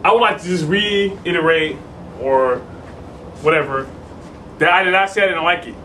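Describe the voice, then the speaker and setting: A man's voice: on the high side, normal speed, bright, forceful tone. A tipsy man, chatting argumentatively with friends in an insistent, emphatic, profane way. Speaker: interviewee. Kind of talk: casual argumentative chat. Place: small hotel room. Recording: faintly noisy handheld camera audio.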